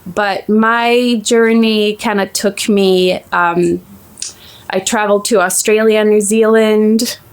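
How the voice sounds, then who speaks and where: A Swedish-accented woman in her forties talks as an interview guest, pitched in the middle of the female range. Her talk is lively and chatty, with easy laughter. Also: slow pace, smooth. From Sweden. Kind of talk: casual interview chat.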